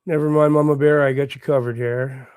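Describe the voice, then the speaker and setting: A man with a hoarse voice from a recent bout of laryngitis, hosting a casual livestream and chatting quietly and encouragingly with his viewers. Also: mid-range voice, fairly even intonation, a little fast, no room sound. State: hoarse, recovering lost voice. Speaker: livestream host. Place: at home with morning coffee.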